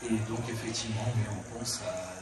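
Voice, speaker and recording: A man from southern France, a reporter and first-time novelist, talking in a relaxed, lightly joking way. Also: on the low side, fairly quick. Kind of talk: festival interview. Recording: distant mic, echoey hall, crowd noise.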